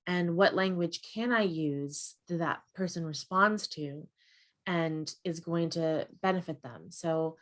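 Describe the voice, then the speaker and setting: A young adult woman, a guest expert in a webinar conversation, explaining earnestly and often tagging her points with "right?". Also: on the low side. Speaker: guest expert on mental health.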